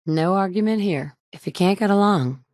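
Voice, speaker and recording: A woman's voice: mid-range voice, moderate pace, darkish tone, no echo, close to an everyday voice. A woman voicing a blunt, sardonic wasteland survivor. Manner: dry and blunt, with sarcastic asides. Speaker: player character. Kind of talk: video game voice acting. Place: studio booth. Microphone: close studio mic.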